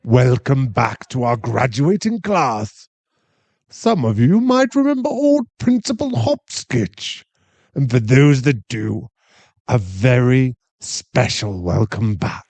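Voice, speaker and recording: A man voicing a jokey school reunion announcer in an audio drama, with a drawn-out, showy delivery. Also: medium pitch, big pitch swings, creaky, darkish tone, no room sound, exaggerated voice. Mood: jovial. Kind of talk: playful public announcement.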